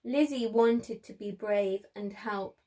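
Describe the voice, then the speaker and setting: A woman speaking to young children as a preschool storyteller, with expressive emphasis and simple phrasing. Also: mid-range voice, takes it slowly.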